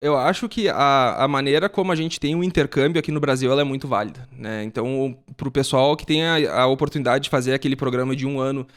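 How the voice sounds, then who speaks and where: A man's voice: mid-range voice, quick pace, hardly any pauses. A young man from Brazil, a podcast guest explaining things in casual chat and often ending with a tag question.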